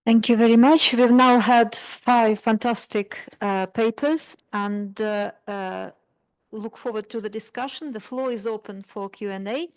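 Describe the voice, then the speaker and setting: Female moderator, higher pitch, brisk polite handoff to audience. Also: rough recording. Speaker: panel moderator. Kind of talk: moderating conference panel.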